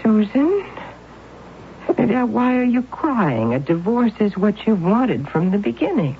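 An actress playing a kindly, fretful older aunt in a 1970s radio drama. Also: female voice, medium pitch, measured pace. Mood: worried. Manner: warm, fretful, animated. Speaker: supporting character. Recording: tinny radio broadcast with faint hiss.

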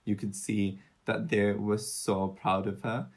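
Young woman with a very low voice. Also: level tone, takes it slowly, darkish tone. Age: young adult. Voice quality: low, soothing voice. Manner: chatty, rambling, searches for words. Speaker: podcast co-host. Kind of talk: casual true-crime podcast chat.

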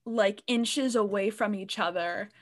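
A young woman, a theater student, co-hosting a podcast, telling stories in an animated way and laughing often. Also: mid-range voice, a little slow. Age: young adult. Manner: animated storyteller, giggly. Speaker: podcast co-host. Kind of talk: casual chat.

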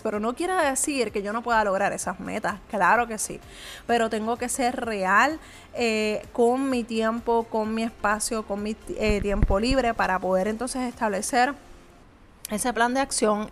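A woman's voice: mid-range voice, moderate pace, fairly bright tone. A woman, a small business owner giving earnest, conversational motivational advice straight to the viewer, with frequent hesitant fillers. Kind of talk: motivational vlog talk to viewer.

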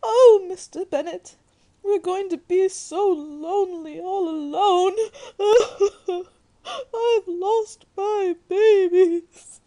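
A woman's voice: very high voice, extremely slow, dark tone, full character voice. A woman playing a fretful, tearful middle-aged wife and doting mother in a melodramatic radio play. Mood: tearful wailing. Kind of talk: 1940s radio acting.

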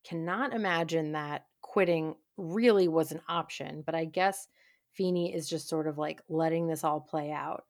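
A woman's voice: low voice, moderate pace, no echo, clean studio sound. A woman co-hosting a casual recap podcast, chatty and wry, with plenty of "like" fillers. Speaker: podcast co-host.

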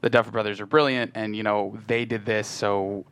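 Low male voice, smooth and clear, screenwriter guest, informal, halting delivery. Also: fairly even intonation, a bit bright. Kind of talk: casual interview chat.

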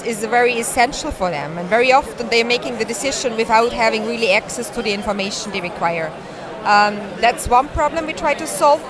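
Austrian-born woman amid a busy conference crowd. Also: a little high. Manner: earnest, explanatory, non-native phrasing. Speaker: guest, startup founder. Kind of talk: interview at a conference. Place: conference hall.

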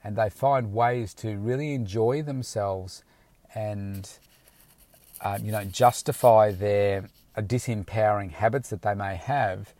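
Male fitness coach, slightly low register, frank, loose and rambling, recorded dry from his car. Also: steady tone, measured pace, darkish tone, no echo. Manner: rambling, frank, with many restarts. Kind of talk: casual motivational talk to camera. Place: inside a car.

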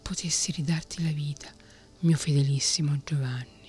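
A woman's voice: low-pitched, steady tone, moderate pace, mellow, breathy edge. A whispered grieving king, as a woman reads him. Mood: sorrowful. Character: grieving fairy-tale king, devoted father.

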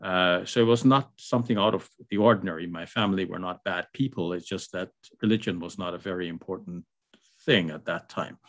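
An older man from Guyana, a guest scholar, answering interview questions in a measured, hesitant way with many fillers. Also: sits low, steady tone.

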